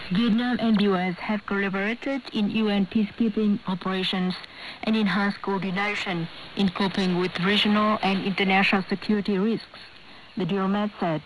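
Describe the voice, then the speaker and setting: Over a shortwave broadcast with faint noise, a woman reads the news. Her pitch sits in the middle range for a woman. She delivers scripted copy in the role of a radio newsreader. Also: steady tone, a little fast, rough recording.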